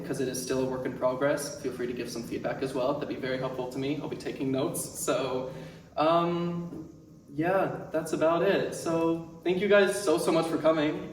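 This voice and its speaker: A man gives an informal, hesitant welcome to an audience as a director. He is recorded from a distance in an echoey room, with some faint noise behind him. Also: medium pitch. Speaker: playwright and director introducing a reading. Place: indoor venue with seated audience.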